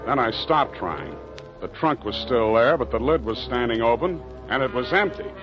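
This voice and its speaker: An actor playing a wry, hard-boiled private detective, narrating and talking in clipped, deadpan old-time radio style. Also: male voice, medium pitch, moderate pace, over loud music, lightly acted. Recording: muffled vintage radio recording.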